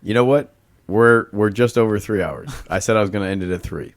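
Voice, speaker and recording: A man's voice: lowish voice, a little fast. A man in his thirties hosting a casual podcast chat, loose and profane, laughing often. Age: 30s. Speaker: podcast host.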